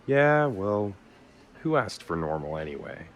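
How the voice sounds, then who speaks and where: Deep male voice, actor for a weary, consoling man in an audio drama; halting, sincere, natural delivery. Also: big pitch swings, creaky, dull audio, heavily acted. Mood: awkward, wry. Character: weary, consoling man grieving a lost sister.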